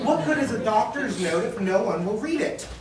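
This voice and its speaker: A woman's voice: a little high, lively intonation, normal speed, a crowd in the background, close to an everyday voice. A woman acting a frantic, complaining woman who pleads and insists at a bank, in a stage play. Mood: pleading, insistent. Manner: whining, theatrical, insistent. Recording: roomy hall from far off, faint noise.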